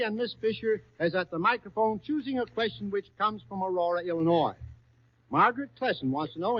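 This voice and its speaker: A man hosting an old radio quiz, coaxing contestants along with repeated questions and exclamations. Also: high-pitched. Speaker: quiz show host. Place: radio studio before an audience. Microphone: stage broadcast microphone. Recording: muffled, lo-fi 1939 radio broadcast.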